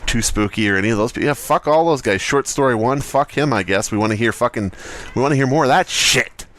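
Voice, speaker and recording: A man's voice: mid-range voice, a little fast, fairly bright tone. A man hosting a podcast in casual comedic banter, sarcastic and sweary. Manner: foul-mouthed, sarcastic. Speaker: podcast host. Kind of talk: casual comedic banter and mock story reading.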